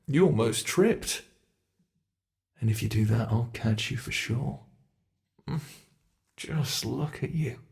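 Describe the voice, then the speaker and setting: A man's voice: medium pitch, creaky, a little mellow. A man voicing an ancient male monster in an ASMR roleplay, a cruel and playful predator who taunts his prey and drops into close whispers.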